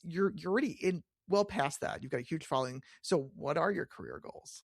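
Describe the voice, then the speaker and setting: A man's voice: fairly high pitch, expressive, fairly quick, gravelly, husky, no room sound, studio quality. A middle-aged man hosting a podcast, interviewing casually in an enthusiastic, encouraging, chatty way.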